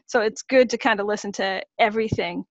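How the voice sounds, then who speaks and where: Measured woman singer-songwriter in her thirties. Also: mid-range voice, no echo, highs cut off. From Massachusetts, USA. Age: 30s. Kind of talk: interview answers.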